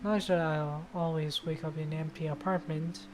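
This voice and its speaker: Low-voiced woman streamer reading aloud. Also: fairly even intonation, fairly quick. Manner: casual, sweary. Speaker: game streamer. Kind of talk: reading a visual novel aloud with casual asides. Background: loud guitar music.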